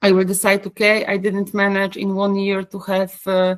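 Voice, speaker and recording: A woman's voice: mid-range voice, completely flat delivery, moderate pace, dry recording. Polish-accented woman, hesitant and dry. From Poland. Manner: hesitant, many fillers and restarts. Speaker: coaching course participant. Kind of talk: group video call discussion.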